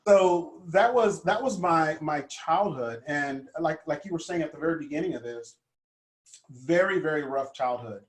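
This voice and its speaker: A man in his fifties from Honduras, a guest life coach telling his childhood story in an earnest, gentle way with many fillers. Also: fairly high pitch, animated, normal speed, reverberant, rough recording. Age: 50s. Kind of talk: conversational storytelling.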